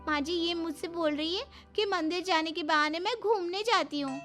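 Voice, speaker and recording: A woman's voice: very high-pitched, normal speed, fairly bright tone, faint music behind. A woman voicing a jealous, quarrelsome young daughter-in-law in a family story, whining and accusing. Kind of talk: dramatised Hindi family story.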